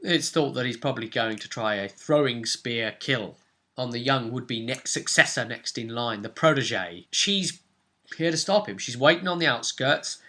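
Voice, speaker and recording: A man's voice: mid-range voice, animated, normal speed, bright, forceful tone. A man speaking as game master, recapping a tabletop role-play session in a conversational, explanatory way and slipping into characters' lines.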